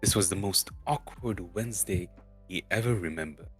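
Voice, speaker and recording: Low-voiced man haltingly narrating a storybook. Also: a bit bright, soft background music. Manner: halting, stumbles over words and repeats them. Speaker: streamer co-reading a novel aloud. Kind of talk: amateur dramatic storybook narration.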